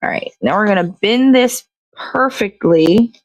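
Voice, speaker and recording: Woman, show host, casual rambling chat, mid pitch, dry sound. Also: animated, unhurried, dry recording, low-quality recording. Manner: rambling, self-correcting, chatty. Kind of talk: casual chat while crafting.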